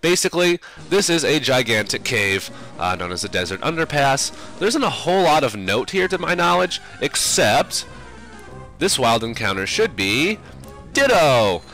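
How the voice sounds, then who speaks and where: A man's voice: medium pitch, very animated, moderate pace, pushed and bright, soft background music. A young man with a cold, sounding sinusy, casually rambling through let's play commentary with lots of fillers. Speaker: game commentator.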